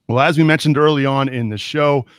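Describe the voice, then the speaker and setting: A man's voice: medium pitch, fairly quick, clear, resonant tone, no room sound, studio quality. A man hosting a soccer talk podcast, moving between segments and thanking supporters. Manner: upbeat host reading segment transitions. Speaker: podcast host. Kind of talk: casual chat and show segments.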